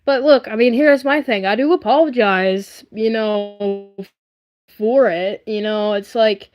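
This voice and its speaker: Woman livestream host, slightly high voice, casual and rambling, earnest, repeats points for emphasis. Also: measured pace, darkish tone.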